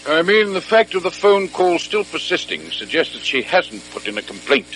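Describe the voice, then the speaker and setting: An actor playing a brisk, matter-of-fact British police superintendent in an old-fashioned radio drama. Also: male voice, fairly high pitch, big pitch swings, fairly bright tone, slight background noise, low-quality recording. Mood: businesslike.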